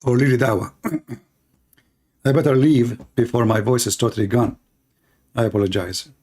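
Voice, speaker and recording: A man's voice: mid-range voice, fairly even intonation, moderate pace, darkish tone, husky. A man giving emphatic preaching commentary to his viewers, declaiming and repeating lines for emphasis. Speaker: online religious debater.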